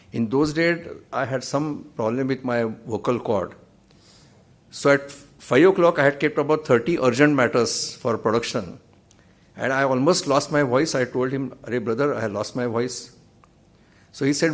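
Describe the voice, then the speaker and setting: A man in his sixties with an Indian English accent, speaking at a podium microphone on the stage of a large auditorium. He delivers a formal memorial lecture in a measured, earnest way, with dry humor in his anecdotes. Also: medium pitch. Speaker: judge giving a memorial lecture.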